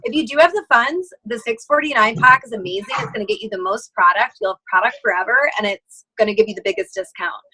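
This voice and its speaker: A woman on a conference call giving a casual motivational sales pitch, earnest and encouraging in manner. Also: fast talker, few pauses, bright, forceful tone, breathy edge, low-quality recording. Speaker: sales team member.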